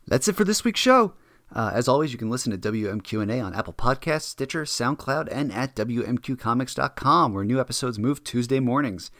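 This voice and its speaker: A man's voice: mid-range voice, lively intonation, a little fast, a bit bright. A man speaking as a podcast host. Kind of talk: podcast hosting, scripted sign-off.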